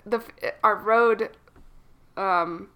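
A woman's voice: medium pitch, very slow, bright, forceful tone, clear, resonant tone. A young adult woman co-hosting a casual chat podcast, sounding a little froggy from a cold and telling rambling stories. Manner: chatty, rambling storyteller. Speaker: podcast co-host.

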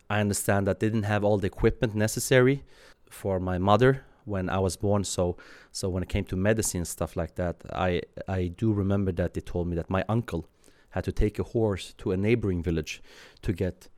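A man in his thirties from Sweden talks in a deep, low voice. He answers in a thoughtful, halting way, full of fillers and restarts. The sound is close and dry. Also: a little mellow, no echo. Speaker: guest. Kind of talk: interview answers.

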